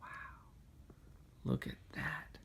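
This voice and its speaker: Dad reading a picture book to his kid, gentle, chatty, asks little questions. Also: drawn-out words, slight background noise. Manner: gentle, questioning, conversational with a child. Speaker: father reading aloud to his child. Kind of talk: storybook read-aloud with casual asides.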